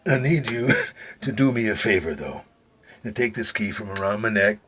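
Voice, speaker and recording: An actor playing a dying retired Secret Service agent in an audio drama, older, gruff and folksy, weary and confiding, with dry humor. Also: male voice, lowish voice, animated, normal speed, fairly bright tone, a little hiss or hum. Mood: secretive, serious.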